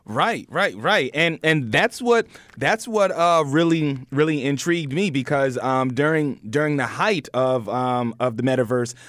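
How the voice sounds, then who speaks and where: A man with a medium-pitched voice interviews a guest in a conversational style. He speaks haltingly, doubling back on words while framing questions. The sound is dry, with no room echo. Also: a bit bright. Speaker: podcast interviewer, host.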